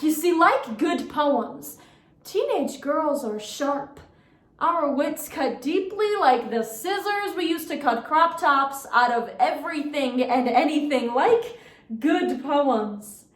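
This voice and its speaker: A young woman performing a dramatic poetry recitation, with theatrical, deliberate phrasing and emphatic pauses. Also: high-pitched. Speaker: competitive speech performer. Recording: roomy, echoing sound.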